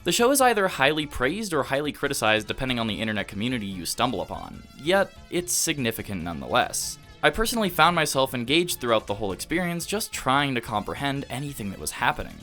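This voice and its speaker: A man delivering scripted, analytical video essay narration in a measured, emphatic, rhetorical way. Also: medium pitch, sing-song, pushed and bright. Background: background music.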